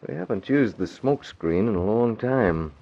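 A young, self-assured masked hero in a vintage radio serial. The voice is deep, calm and commanding. The recording has the dull tone of an old broadcast. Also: male voice, unhurried. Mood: calm, determined. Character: confident young newspaper publisher, secretly a masked crime-fighter. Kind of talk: old-time radio drama acting.

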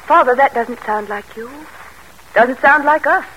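A woman's voice: high voice, unhurried, pushed and bright. An actress in a classic radio drama playing a devoted, anxious young mother and daughter, earnest and genteel in manner. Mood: troubled. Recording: noisy old radio drama recording.

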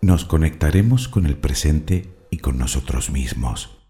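A man leading a guided meditation, speaking soothingly and deliberately in short phrases of instruction and affirmation. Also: very deep voice, lively intonation, mellow.

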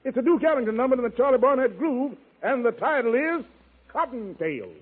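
A man's voice: extremely high pitch, expressive, brisk, narrow, tinny audio. An American man hosting a 1940s radio show in showy, rhyming hep-cat slang on a vintage broadcast recording. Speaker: radio show host and announcer. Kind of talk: 1940s radio jive-talk emceeing.

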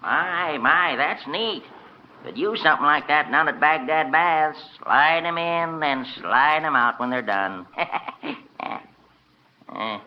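Higher-pitched folksy bathhouse wisecracker. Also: male voice, expressive, slow, a little hiss or hum. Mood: wry, rambling. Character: quaint, jokey male bathhouse attendant. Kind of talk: old-time radio character acting. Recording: muffled vintage radio recording.

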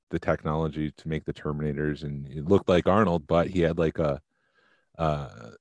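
A man co-hosting a podcast, chatting casually and thinking out loud about films. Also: very deep voice, no room sound, studio quality. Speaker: podcast co-host.

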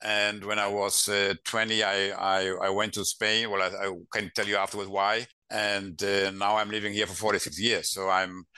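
A man's voice: on the low side, little pitch movement, pushed and bright, clear, resonant tone, dry recording. Cheerful German-accented man in his sixties. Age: 60s. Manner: halting, many restarts and fillers, cheerful. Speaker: guest, managing partner of an IP firm. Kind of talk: casual interview conversation.

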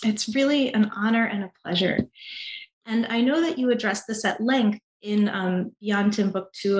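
Woman, podcast host, measured, polite, mid voice, roomy sound. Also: medium pitch. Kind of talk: scripted podcast introduction. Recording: roomy echo.

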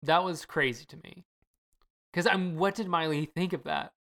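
Low-voiced woman podcaster. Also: normal speed. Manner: relaxed, opinionated, many fillers. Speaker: podcast co-host. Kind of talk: casual chat.

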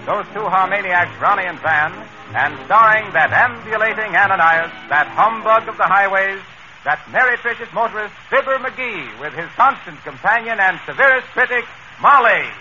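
A high-pitched man works as a 1930s radio announcer. His delivery is florid and theatrical, like a showman's. The broadcast is muffled, with music behind the voice. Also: animated, moderate pace.

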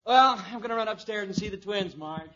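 An actor playing a polite, eager young husband in a 1950s radio comedy. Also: male voice, high-pitched, brisk, a bit bright. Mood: cheerful, polite. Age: young adult. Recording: muffled vintage radio recording.

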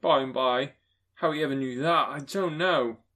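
A man voicing a kind, cheerful poor clerk who is a grieving father, speaking gently and haltingly. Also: mid-range voice, close to an everyday voice. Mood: earnest.

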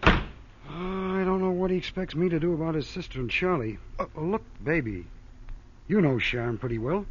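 A man's voice: fairly high pitch, expressive, fairly quick, husky. A man playing a world-weary, crooked nightclub owner in old radio crime drama, wry and slangy. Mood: harried. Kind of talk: 1940s-50s radio crime drama acting. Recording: dull old radio broadcast.

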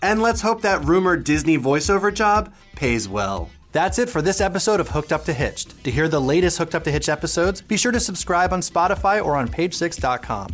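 A man co-hosting a podcast, narrating celebrity gossip with an arch, playful, campy manner. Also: fairly high pitch. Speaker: podcast co-host, celebrity writer. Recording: music bed under the voice.

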